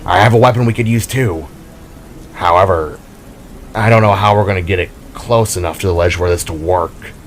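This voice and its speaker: A man's voice: low-pitched, moderate pace, a little hiss or hum, lightly acted. A man voicing a nervous, tentative bald mechanic in an audio drama. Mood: doubtful. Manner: tentative, speculating.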